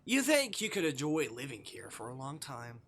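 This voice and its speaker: Man, mid-pitched, very lively intonation, casual game streamer, reading aloud with asides, faint background noise. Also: moderate pace. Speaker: livestreamer playing a visual novel.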